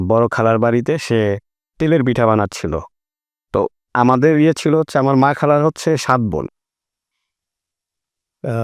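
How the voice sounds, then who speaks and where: A man's voice: medium pitch, darkish tone, dry recording, clean studio sound. A man with a Bangladeshi accent, casually telling anecdotes in a group chat.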